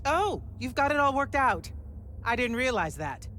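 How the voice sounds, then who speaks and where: Stern, biting army general. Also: female voice, sits high, sing-song, normal speed, fairly bright tone, a little hiss or hum. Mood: scornful. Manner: authoritative, biting, sardonic. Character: stern, commanding female army general, exasperated. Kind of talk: dramatic video game cutscene acting.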